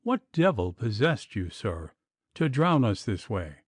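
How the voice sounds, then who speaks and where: Guy doing a bragging hot-tempered gentleman yelling curses, bouncy pitch, dry. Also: big pitch swings, measured pace, no echo. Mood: blustering. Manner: blustering, shouting. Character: hot-tempered boastful gentleman, frightened and cursing.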